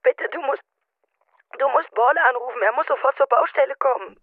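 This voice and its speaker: A woman's voice: sits high, a little fast, phone-quality sound, natural voice. An actress playing a distressed, tearful woman who pleads with agitation in a radio drama. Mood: tearful, urgent. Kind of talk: modern German radio drama acting.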